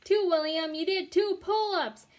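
A woman voicing a feisty, excitable little kindergarten girl in a children's storybook, exclaiming in childlike grammar. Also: very high voice, measured pace, pitched above the performer's own voice. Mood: thrilled.

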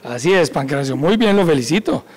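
A man in his forties, a police school director, calmly explaining an educational point in an office. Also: fairly high pitch, fairly quick. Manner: calm, instructive, laughs easily. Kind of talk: educational segment talk. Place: indoor office with bookshelves. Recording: echoey room.

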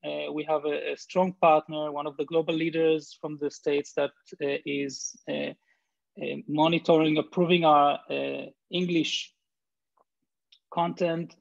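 Israeli man with a Hebrew accent, gravelly. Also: fairly high pitch, level tone, moderate pace, no room sound. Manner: hesitant, frequent fillers and restarts. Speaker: company founder presenting. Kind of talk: video call presentation and answers. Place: home room with bookshelves. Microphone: laptop video call. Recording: muffled video call audio.

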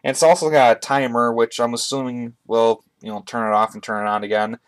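A man with a mid-pitched voice talks through a hobby gear review in a casual way. His delivery is halting and conversational, picked up by a webcam in a room at home. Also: little pitch movement, clean tone. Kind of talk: casual product review to camera. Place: indoors, small room at home. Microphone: webcam on a tripod, speaker off camera.

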